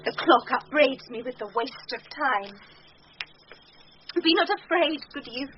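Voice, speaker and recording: A woman's voice: sits high, animated, measured pace. A British woman playing a proud, lovestruck noblewoman, speaking stately and ardent verse in a Shakespearean radio drama. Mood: wistful, proud. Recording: muffled 1990s radio broadcast, faint noise.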